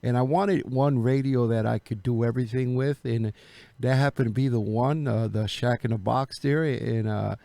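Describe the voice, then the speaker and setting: Gravelly man chatting, close and dry. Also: mid-range voice, fairly even intonation, normal speed, dark tone, no echo. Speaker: amateur radio operator, video host. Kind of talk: casual radio chat. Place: indoor home radio room.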